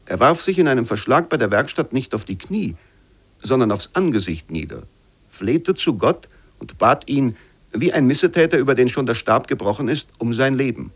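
A man narrating scripted literary prose for a radio play in a measured, formal manner. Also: mid-range voice, big pitch swings, breathy edge.

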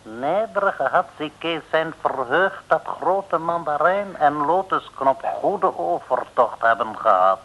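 Slow, mock-humble henchman in pidgin Dutch. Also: fairly high pitch. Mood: mock-courteous. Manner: broken pidgin Dutch, ceremonious flattery. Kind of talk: 1960s comic radio serial acting. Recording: dull old radio recording.

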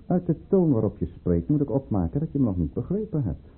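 Casting: scheming tsarist governor and lover, suave and menacing, highly animated pitch, husky muffled sound of an old tinny radio play. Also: male voice. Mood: coldly commanding. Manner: suave, formal, coldly commanding, deliberate. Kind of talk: Dutch radio drama acting.

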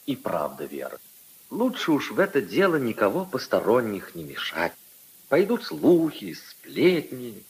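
An actor playing a mild, hesitant, gentlemanly prince in measured 1950s radio drama style. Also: male voice, mid-range voice, wildly swooping pitch, low-quality recording. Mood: cautious, reasoning.

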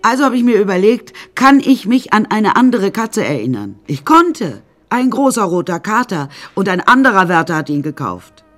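A woman's voice: medium pitch, sing-song, normal speed, hardly any pauses, fairly bright tone. A woman playing an eccentric painter who tells her troubled life in a wry, rambling, matter-of-fact way, as radio drama narration.

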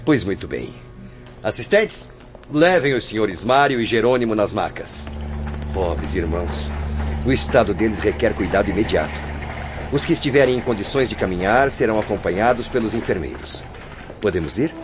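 A kindly young male nurse acted by a man speaks in a low voice with big melodic swings. He is courteous and theatrical, in radio drama manner, with soft music behind a slightly dull recording. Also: animated, normal speed, natural voice. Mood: brisk, compassionate. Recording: muffled audio with soft music.

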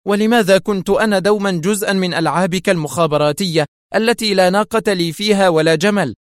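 A man voicing a hot-tempered, scheming woman crime boss in audiobook dialogue, sharp, agitated and insistent. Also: high voice, fast talker, no echo, clean studio sound, pitched above the performer's own voice, natural voice. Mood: furious.

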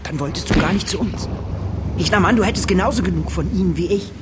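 A disillusioned young male comrade played by an actor talks fast and high in a radio play, with battle noise around him. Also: close to an everyday voice. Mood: agitated. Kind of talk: radio drama acting. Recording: noisy battle ambience behind voice.

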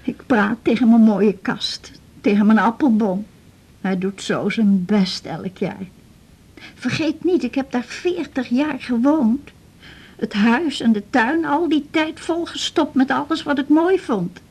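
A woman's voice: a little high, a little mellow, breathy edge. An actress playing a frail, talkative old Dutch widow, a patient clinging to her house, in a radio drama. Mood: wistful, anxious. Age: older. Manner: chatty, plaintive, with halting repetitions.

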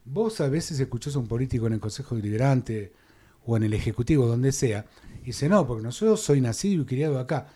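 Un hombre de Buenos Aires con acento argentino, conductor de radio, que habla con ironía y preguntas retóricas. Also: male voice, mid-range voice, lively intonation, a little fast, dark tone. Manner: wry, uses rhetorical questions. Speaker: radio host. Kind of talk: casual radio conversation.

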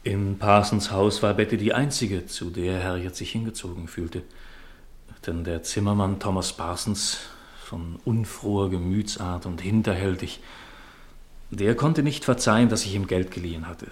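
An actor playing a devoted, earnest husband with simmering resentment in a 1950s German radio play, speaking formally and fervently with careful articulation. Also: male voice, low-pitched, normal speed, natural voice. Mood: resentful.

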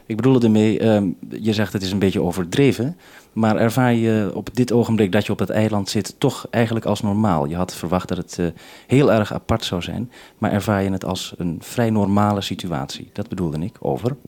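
A man's voice: fairly low pitch, moderate pace, darkish tone. A man conducting a radio interview, asking questions in a formal, somewhat hesitant way.

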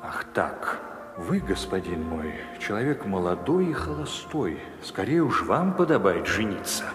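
A man's voice: sits low, big pitch swings, measured pace. An actor playing a wry, stubborn vizier in an audio fairy-tale drama, pointedly polite to his master. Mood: sly, needling. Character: married vizier, wry, deferential but stubborn adviser. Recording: echoey reverberant hall, music underneath.

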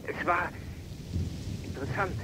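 An actor playing a polite, hesitant teenage boy in a radio drama, with loud rain and thunder around him. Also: lightly acted. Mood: shy, polite. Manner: tentative, halting. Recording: loud storm noise over voice.

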